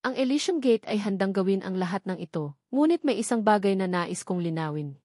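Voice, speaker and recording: A woman's voice: medium pitch, expressive, brisk, a little mellow, no echo, lightly acted. Female narrator as dutiful male general in an audiobook, formal, respectful, dry close sound. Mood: firm, formal.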